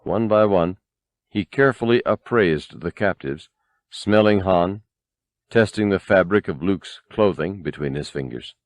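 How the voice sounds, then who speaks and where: A man narrating a novel as an audiobook in a dramatic, expressive and measured storytelling style. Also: sits low, no room sound.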